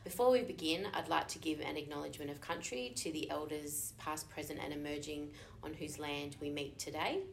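A woman hosting a podcast, giving a measured, formal introduction and asking questions. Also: deep voice, steady tone, gravelly, slight background noise, poor audio. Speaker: podcast host.

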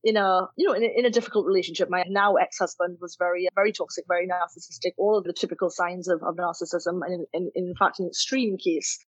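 A woman's voice: fairly low pitch, steady tone, quick pace, hardly any pauses, slightly rough, no echo. A woman from Trinidad, a guest telling her personal story in a reflective, earnest way, often saying "you know" and "kind of". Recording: slightly dull, dry recording.

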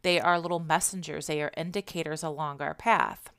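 A woman hosting her own podcast, a little congested and hoarse from allergies, talking in a gentle, reflective way and often repeating herself as she asks questions aloud. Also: low voice, steady tone, fairly quick. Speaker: podcast host. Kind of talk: casual spiritual podcast monologue.

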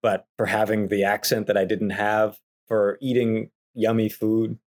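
A man's voice: lowish voice, level tone, a little slow, a little mellow. Earnest man in his thirties. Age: 30s. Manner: earnest, thoughtful, some hesitations. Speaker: podcast guest. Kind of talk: casual conversation.

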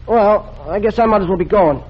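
A man's voice: high voice, a little fast, breathy edge. An actor playing an eager, earnest teenage boy in a 1930s radio drama. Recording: dull lo-fi old radio recording.

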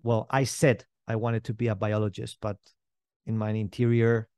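A man's voice: on the low side, level tone, moderate pace, a little mellow, clean tone, no echo, highs cut off. Man, dry close sound. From Spain. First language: not English. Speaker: podcast guest, entrepreneur. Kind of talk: casual interview storytelling.

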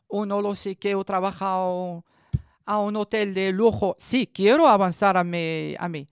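A woman in her thirties with a Moroccan accent gives a personal testimony, haltingly searching for words in a language that is not her first. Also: medium pitch, clean tone, no room sound, studio quality. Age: 30s. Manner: halting, searching for words in non-native Spanish. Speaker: radio guest giving a personal testimony.

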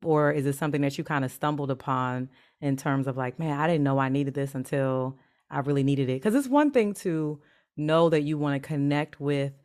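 A woman's voice: low-pitched, moderate pace, a little mellow. A woman hosting a podcast, interviewing her mentee and affirming her answers with frequent encouragement. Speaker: podcast host, mentor.

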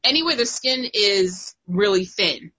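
Chatty young woman, bright but a bit husky, lots of likes and laughing. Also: medium pitch, expressive, measured pace, low-quality recording. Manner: chatty, laughs often, many fillers. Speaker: guest co-host. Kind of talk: casual chat.

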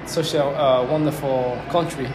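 A man's voice: mid-range voice, steady tone, a little slow. A young man from Cuba whose first language is Spanish, speaking as an interview guest in a reflective way and searching for his words. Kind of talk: casual conversation. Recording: roomy with background noise.

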